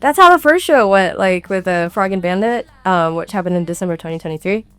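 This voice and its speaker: A woman's voice: lowish voice, animated, brisk, fairly bright tone, clear, resonant tone, no echo. A woman, an indie singer-songwriter and native speaker of Tagalog and English, chatting casually with self-deprecating humour. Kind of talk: casual interview chat. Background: music.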